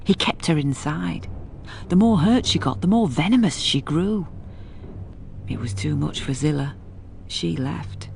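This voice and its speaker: Housekeeper narrator, low pitch, gravelly creak, measured, grave, gothic storytelling. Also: female voice, lively intonation, a little mellow, a little hiss or hum, heavily acted. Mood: reflective. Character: reflective, level-headed housekeeper telling a gothic tale. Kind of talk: dramatic scripted narration.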